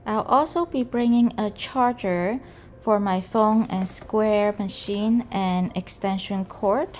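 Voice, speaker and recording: A woman in her thirties, a potter, calmly explaining her market packing in a gentle vlog narration. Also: mid-range voice, slight background noise. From Canada. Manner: slow, gentle, careful delivery. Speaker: potter vlogger. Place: home room indoors. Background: background music.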